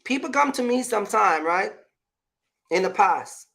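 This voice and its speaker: Creaky-voiced man giving emphatic advice. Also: sits high, lively intonation, unhurried, sharp tone. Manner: emphatic, repetitive, checks in with listener. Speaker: vlog host, trucking business owner. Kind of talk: casual advice talk with personal anecdotes.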